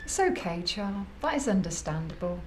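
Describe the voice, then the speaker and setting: A woman's voice: mid-range voice, big pitch swings, normal speed, slight background noise, close to an everyday voice. An actress playing an older female spirit medium who speaks in a soothing, motherly way.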